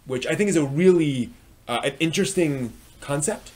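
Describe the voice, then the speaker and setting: Male live-stream reviewer, slightly high voice, halting and self-correcting, unscripted delivery. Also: lively intonation. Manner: halting, hedging, repeats and rephrases phrases; frequent throat clearing.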